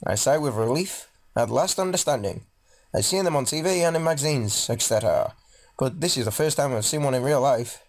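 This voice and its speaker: A man's voice: medium pitch, animated, fairly quick. A man doing a let's play, reading visual novel narration aloud dramatically and adding casual, jokey asides. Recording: game music behind the voice.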